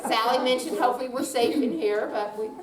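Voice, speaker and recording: Distant, high-voiced woman hosting in echoey room. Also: steady tone, quick pace, over a noisy crowd. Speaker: event host. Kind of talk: informal welcome speech to an audience. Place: indoor room at a senior center. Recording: roomy, distant mic, noisy hall.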